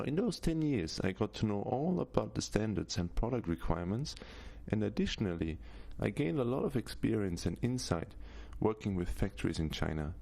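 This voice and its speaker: A middle-aged man from Austria with an Austrian German accent, giving a scripted introduction to his course in a steady, list-like way. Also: lowish voice, vocal fry. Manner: steady, list-like delivery with frequent "Now" openers. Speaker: course instructor.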